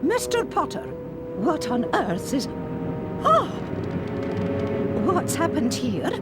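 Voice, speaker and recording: A strict British witch teacher from a video game. She is precise and authoritative, speaking slowly in a raised, lively-pitched voice. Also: loud music behind the voice, heavily acted. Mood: alarmed, stern. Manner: formal, precise, stern. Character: strict, brisk female witch professor, authoritative.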